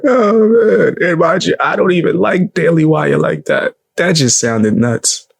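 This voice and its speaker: Young male streamer, gravelly low voice, blunt and mocking, casual live reaction. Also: measured pace. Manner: blunt, mocking, swears freely.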